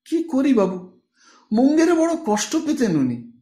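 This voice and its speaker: An earnest, deferential young village woman in an audiobook story, voiced by a man. She talks simply and devotedly with lively swings in pitch, in a room with clear echo. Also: unhurried, full character voice. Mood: apologetic, devoted. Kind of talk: audiobook character dialogue. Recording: roomy, echoing voice recording.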